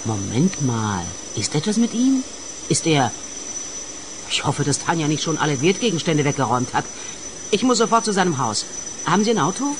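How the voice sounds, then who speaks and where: Bitter, swooping, scornful daughter. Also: female voice, deep voice, big pitch swings, normal speed, noisy background. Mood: scornful, agitated. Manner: indignant, cutting, dramatic. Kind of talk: radio comedy-crime acting.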